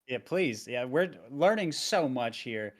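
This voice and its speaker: A man's voice: medium pitch, expressive, unhurried. A man in his thirties joking playfully in a casual gaming podcast chat. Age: 30s.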